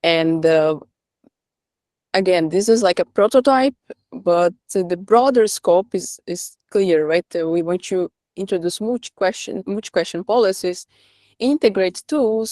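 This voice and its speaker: A woman's voice: fairly low pitch, darkish tone, dry recording, clean studio sound. A woman from Brazil, giving an academic talk, hesitant and full of restarts and tag questions. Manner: hesitant, many restarts and "right?" tags. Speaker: speaker.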